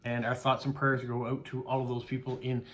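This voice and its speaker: A man's voice: medium pitch, monotone, a little fast, fairly bright tone, a little hiss or hum. A middle-aged Canadian man, a mail carrier, chatting casually as he unboxes postcards, thanking each sender with many "uh" fillers.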